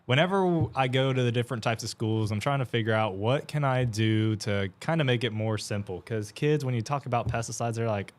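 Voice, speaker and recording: A man, an extension educator on a morning TV show, explaining in a steady, self-described monotone. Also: mid-range voice, brisk, few pauses. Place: TV studio.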